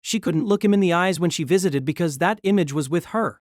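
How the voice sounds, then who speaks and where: A woman's voice: on the low side, fairly quick, darkish tone, dry recording, clean studio sound. A woman narrating a scripted novel as a dramatic storyteller.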